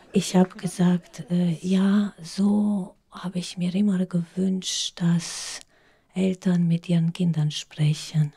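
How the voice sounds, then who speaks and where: Middle-aged woman, Romanian accent, low flat delivery, dark tone, halting question. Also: husky. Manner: hesitant, searching for words. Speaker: audience member asking a question. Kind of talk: spontaneous question from the audience. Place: outdoors among a seated audience.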